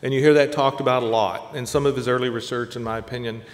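A man's voice: mid-range voice, fairly even intonation, fast. A man in his sixties giving a conversational keynote lecture from a lectern on a stage, listing examples in short phrases. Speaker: keynote speaker. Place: large dark auditorium stage at a lectern. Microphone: lectern microphone. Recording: reverberant auditorium sound.

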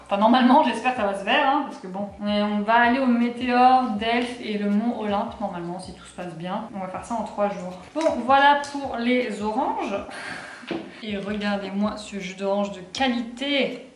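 A woman in her twenties from France with a French accent, chatting casually for a vlog in an expressive, slangy, sweary way. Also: mid-range voice, normal speed, reverberant, a little hiss or hum. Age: 20s. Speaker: vlogger. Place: small apartment kitchen and living room, indoors.

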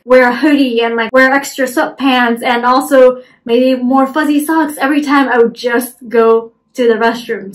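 A woman's voice: high voice, low-quality recording. A young American woman from Florida chatting to camera in her small bedroom, casual and self-deprecating, with lots of "like" fillers. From Florida, USA. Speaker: vlogger. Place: small bedroom apartment, indoors. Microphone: camera in front at desk distance.

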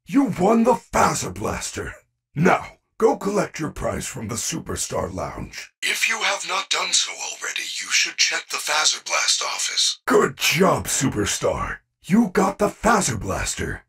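A man's voice: a little high, huge pitch swings, unhurried, creaky, bright, forceful tone, slightly rough, far from the mic, full character voice. A man voicing a friendly, caring animatronic bear in a video game, speaking in formal, precise, earnest sentences. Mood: cheerful, encouraging. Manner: formal, precise wording without contractions, earnest. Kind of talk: video game character voice acting.

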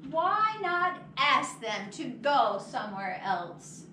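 A woman's voice: high-pitched, very animated, slow pace, pushed and bright, exaggerated voice. A woman voicing a cheerful, matter-of-fact little pig in a children's picture book, giving simple, spaced-out answers. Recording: echoey, noisy room, far from mic.

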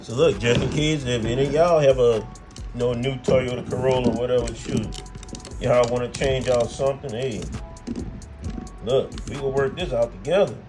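An American man talks through a hands-on job in a casual, folksy way, thinking aloud as he goes. His voice sits at an ordinary male pitch, with music clearly playing behind him. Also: normal speed. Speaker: host, car mechanic. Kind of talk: casual hands-on how-to chat to camera.

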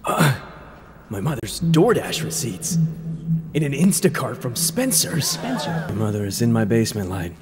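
A man voicing a brooding young male anime detective in an amateur fan dub, with a low, muttering delivery. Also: big pitch swings, normal speed, lightly acted. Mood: deadpan. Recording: roomy reverb with loud music behind.

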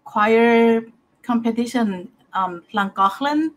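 A woman's voice: fairly high pitch, takes it slowly, rough recording. A middle-aged woman hosting an interview on a video call, speaking in a friendly, hesitant way with frequent laughter. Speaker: host. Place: home room.

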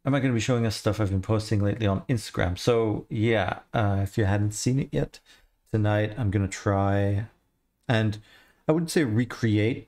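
A man in his thirties with an accent blending Australian, Irish and American, chatting in a relaxed, rambling way while he answers questions from his viewers. His voice sits fairly low and has a gravelly edge. The tone is mellow, and he talks into a headset mic in a home studio. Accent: mixed Australian, Irish and American. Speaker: livestreamer, 3D artist. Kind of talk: casual livestream chat.

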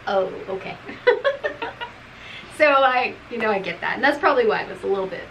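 A woman's voice: medium pitch, lively intonation, moderate pace, fairly bright tone, muffled recording, slight background noise. A middle-aged woman chats loosely and at length about a novel, circling back on her thoughts and laughing along the way. She sits in a room at home, speaking naturally to her co-host on camera. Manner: chatty, rambling, laughs often. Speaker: book club co-host. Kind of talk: casual book review chat.

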